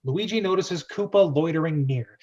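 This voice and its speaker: Male host-narrator for a screenplay reading, moderately high pitch, slightly muffled lo-fi recording. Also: normal speed, darkish tone. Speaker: host and stage-direction narrator. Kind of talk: dramatic script reading, narration.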